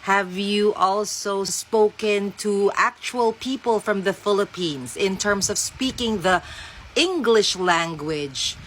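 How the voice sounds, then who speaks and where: A woman's voice: mid-range voice, takes it slowly, fairly bright tone. Challenging woman, faint background noise. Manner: challenging, questioning. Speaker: clip speaker.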